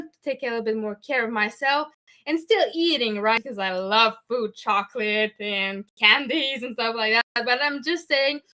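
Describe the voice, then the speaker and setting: A woman's voice: fairly high pitch, bright, forceful tone. A French-Canadian woman from Montreal, a native French speaker hosting a show, talking earnestly in English with frequent "okay?" asides. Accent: Québécois French. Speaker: podcast host. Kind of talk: candid personal talk.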